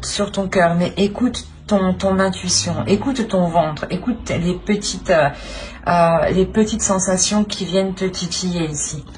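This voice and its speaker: A woman's voice: on the low side, a little mellow. A woman giving an unscripted tarot reading to viewers, hesitant and searching for words as she repeats phrases. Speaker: tarot reader and channel host.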